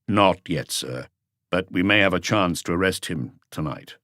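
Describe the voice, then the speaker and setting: A man voicing a composed, seasoned police detective who speaks in a measured, matter-of-fact way in a crime audiobook. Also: deep voice, expressive, bright, forceful tone, dry recording, clean studio sound, full character voice. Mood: measured, serious. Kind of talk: audiobook character voice.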